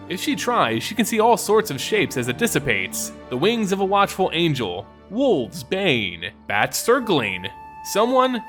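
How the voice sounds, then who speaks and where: A man narrating a scripted story in a theatrical, suspenseful manner with deliberate phrasing. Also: on the high side, a bit bright.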